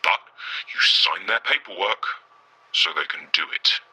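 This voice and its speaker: An actor playing a bitter, worn-out former test subject giving a guarded, halting interview in an audio drama, husky and rough. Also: male voice, sits low, animated, sharp tone, exaggerated voice, poor audio. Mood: bitter, weary.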